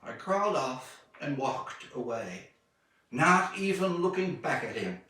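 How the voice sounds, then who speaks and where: A man reads a short story in a fairly high, bright voice that rises and falls dramatically. He takes his time, leaning on phrases with deliberate pauses. The sound is roomy and echoing. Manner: measured, deliberate phrasing with expressive emphasis. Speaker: author reading own short story. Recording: echoey room, distant microphone.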